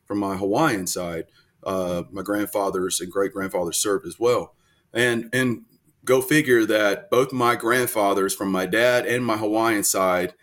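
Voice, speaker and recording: A man's voice: sits low, a bit bright. A man in his forties from North Louisiana, a veteran guest telling detailed stories in a casual interview, earnest and full of fillers. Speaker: guest, military veteran and country singer.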